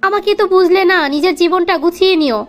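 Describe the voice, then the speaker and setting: A woman's voice: extremely high pitch, fast. A woman with a Bangladeshi accent voicing a reproachful, sulky young girlfriend in a cartoon comedy. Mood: wistful. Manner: reproachful, nagging.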